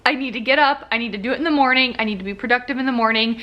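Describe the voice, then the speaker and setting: A woman's voice: a little high, very fast, muffled recording. A young woman chatting casually in a vlog update, rambling with fillers, a bit congested and sniffly. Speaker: vlogger.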